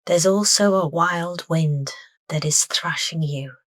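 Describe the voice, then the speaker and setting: Female meditation narrator: low register, soft and deliberate, dry studio-like sound. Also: no echo. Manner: soft, unhurried, deliberate phrasing. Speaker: meditation guide.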